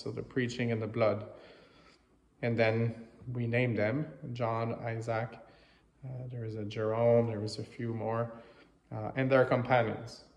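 French-Canadian priest in an echoey chapel. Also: male voice, medium pitch, darkish tone. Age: 30s to 40s. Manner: halting, reflective, with many pauses. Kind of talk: informal homily. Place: small chapel, indoors. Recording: echoey, reverberant chapel sound.

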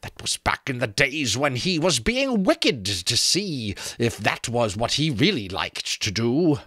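A man voicing a spiteful undead skull with a creaking, rattling voice and slow, teasing delivery, close to the mic. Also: mid-range voice, sing-song, bright, forceful tone, no echo, voice pushed up, exaggerated voice. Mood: taunting.

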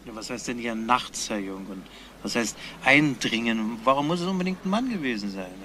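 An actor playing an unhurried, dryly probing police detective in a German crime radio play. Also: male voice, mid-range voice, lively intonation, bright, forceful tone, slight background noise. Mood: measured, probing.

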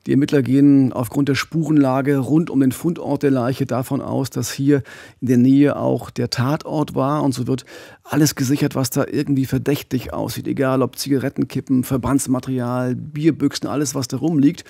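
A middle-aged man hosting a true-crime podcast, telling the story with a gravelly, husky voice on a studio mic. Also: medium pitch, fairly even intonation, normal speed, warm tone, dry recording. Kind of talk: podcast storytelling, partly scripted. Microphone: studio mic with pop filter, headphones.

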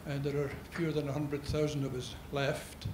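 A Scottish man in his sixties, a native Gaelic speaker and poet, talking in deliberate formal phrases on a handheld mic from an audience. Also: mid-range voice, flat delivery. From Scotland. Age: 60s. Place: large indoor conference hall. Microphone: handheld microphone. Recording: echoey noisy auditorium.

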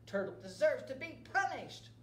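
A man voicing a lazy, greedy, indignant trickster spider in a high, strained, whiny storybook voice. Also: normal speed, exaggerated voice. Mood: aggrieved. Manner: whiny, exclaiming. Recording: roomy with steady background noise.

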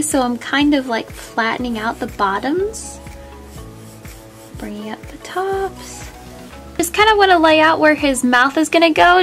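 A woman's voice: high-pitched, a bit bright. A woman hosting a step-by-step drawing tutorial, friendly and easygoing, a little sniffly from a cold. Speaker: art tutorial host.

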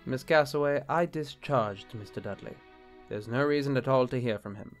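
A man's voice: medium pitch, moderate pace, faint music behind, natural voice. A man playing an irritable, indignant bishop. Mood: clipped, formal. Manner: curt, indignant. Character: irritable, jealous, proud middle-aged bishop husband. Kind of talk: radio-play style acting.

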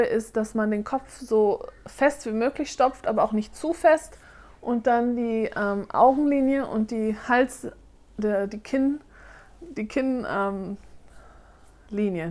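Chatty woman, slightly high voice. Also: a little mellow. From Germany. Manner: chatty, hesitant with frequent fillers. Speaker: doll-making vlogger. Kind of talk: casual Q&A vlog. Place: living room, seated on a sofa.

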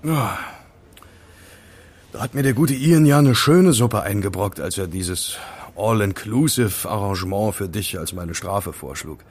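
An actor playing a remorseful, self-pitying young hacker in an audio drama, sheepish and expressive. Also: male voice, on the low side, a little slow, slightly rough, heavily acted. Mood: rueful.

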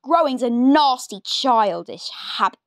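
A woman's voice: sits high, expressive, measured pace, no echo, clean studio sound. A woman voicing a tiny, nasty, bossy old grandmother who snaps and scolds in a read-aloud story. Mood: scolding. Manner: snapping, scolding.

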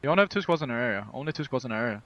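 A man's voice: mid-range voice, expressive, racing, no room sound, lo-fi sound. A man playing competitively and directing his teammates in tactical game comms, repeating his callouts. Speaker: esports player calling plays for the team. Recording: background noise, game sound.

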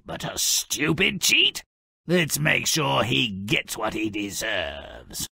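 An actor playing a gleefully malicious, sardonic little fairy-tale troublemaker in a video game, with a raspy, gravelly voice and a theatrical, gloating delivery. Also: male voice, a little high, no room sound, clean studio sound, heavily acted. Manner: sardonic, gloating, theatrical, swings from murmur to shout. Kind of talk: theatrical scripted game narration.

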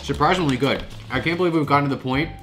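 A man's voice: fairly high pitch, fast. Man in his thirties, congested and nasal, casual food-vlog chatter at the kitchen table over music. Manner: chatty, animated, with frequent restarts. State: nasal from a cold. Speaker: food vlogger. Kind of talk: casual snack review to camera. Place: home kitchen, indoors.

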